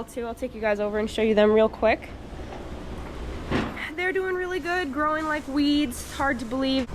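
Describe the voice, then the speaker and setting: A woman who works on a dairy farm, talking casually to viewers with a stuffy, congested voice from a cold. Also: fairly high pitch. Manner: chatty, talks straight to viewers. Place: dairy barn, with calves and cows. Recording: noisy barn recording.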